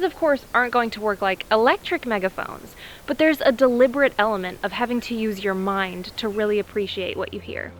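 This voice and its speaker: A woman reporting and narrating in the style of a travel documentary. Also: a little high.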